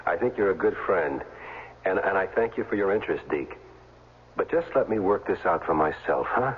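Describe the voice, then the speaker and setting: Low-voiced, wry down-and-out ex-athlete. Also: male voice, animated, normal speed, noisy background. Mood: exasperated, earnest. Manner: wry, flippant, colloquial. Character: down-on-his-luck former football player, wry, proud young man. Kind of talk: 1970s radio drama acting and first-person narration. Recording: dull old radio recording, music underneath.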